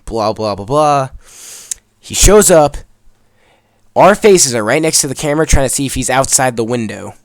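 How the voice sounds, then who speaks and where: A man's voice: medium pitch, lively intonation, normal speed, pauses often, no room sound. Casual young man rambling through a story, dry recording. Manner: rambling, profane, emphatic. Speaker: video creator telling a story over gameplay.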